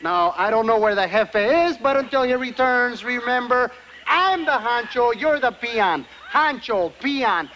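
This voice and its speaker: A cocky, wry sidekick in a TV comedy. His high voice moves up and down a lot and. The tape is clearly noisy. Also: lively intonation, a little slow, sharp tone, exaggerated voice. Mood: bossy. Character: cocky, sarcastic Spanish sidekick and second-in-command. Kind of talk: broad comic TV acting. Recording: noisy VHS tape with ambience.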